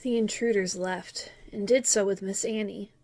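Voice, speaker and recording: Woman doing wistful first person story narration, earnest, middle pitched, audio drama. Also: steady tone, moderate pace, dark tone, natural voice. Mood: wistful, reflective. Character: grown man wistfully recalling childhood with beloved babysitter.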